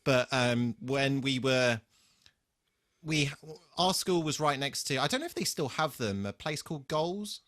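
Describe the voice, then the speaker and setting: A man with a bright, forward voice, talking casually as a podcast co-host. He speaks haltingly, swears freely and pokes fun at himself as he tells stories. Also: mid-range voice, expressive. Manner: sweary, halting, self-deprecating. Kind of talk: casual chat, personal anecdotes.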